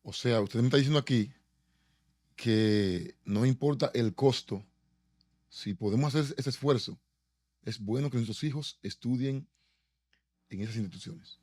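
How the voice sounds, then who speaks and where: A man's voice: medium pitch, unhurried, long pauses, a little mellow, no room sound. Male interviewer, dry close sound. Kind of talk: conversational interview.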